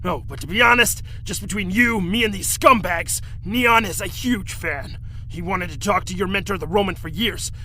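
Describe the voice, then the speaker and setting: A man's voice: high voice, moderate pace, pushed and bright, slight background noise. A man playing a friendly, deferential game show crew member in an audio drama, chatty and eager. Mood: chummy, eager.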